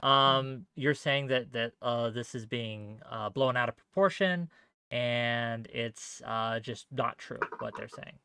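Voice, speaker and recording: A man's voice: mid-range voice, slow pace, creaky, sharp tone, clear, resonant tone, no echo, clean studio sound. A man hosting an online panel who moderates and asks guests follow-up questions. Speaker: host.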